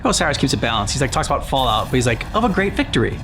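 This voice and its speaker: A woman's voice: very deep voice, very animated, very fast, over music. A woman giving casual, off-the-cuff reaction commentary as a video host. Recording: clear background noise from game playback.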